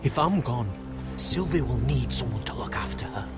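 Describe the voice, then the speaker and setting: A man's voice: mid-range voice, animated, moderate pace, close to an everyday voice. An actor playing a wounded, feverish young man who earnestly pleads with his friend, in a video game cutscene with music behind him.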